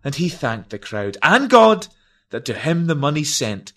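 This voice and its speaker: A man performs narrative verse in a declamatory, melodramatic style. His voice is fairly high and very animated, moving slowly and deliberately through the theatrical lines. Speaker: performer reciting a narrative poem. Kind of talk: theatrical dramatic verse recital.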